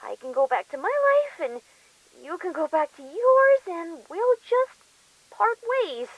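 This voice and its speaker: A woman voicing a terrified teenage female pegasus held captive in an audio drama, meek, halting and pleading. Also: very high voice, very animated, no room sound, narrow, tinny audio, heavily acted. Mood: tearful, pleading.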